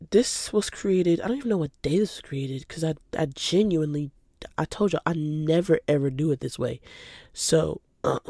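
A woman's voice: low-pitched, no room sound, studio quality. An eighteen-year-old woman from Atlanta hosting her own podcast in casual, rambling chat, sounding stuffy from a cold. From Atlanta, Georgia. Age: teens. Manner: chatty, rambling, laughs easily. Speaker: podcast host.

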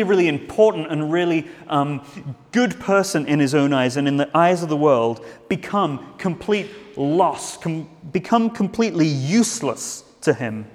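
A man's voice: on the high side, a little mellow, big-room sound. A man preaching a sermon in a church, earnest, with frequent restarts and fillers.

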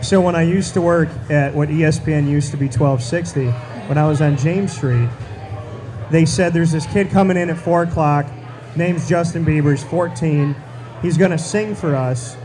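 A man hosting a casual chat, easygoing and quick to agree. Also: fairly high pitch, mellow. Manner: easygoing, frequent agreeing interjections. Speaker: host.

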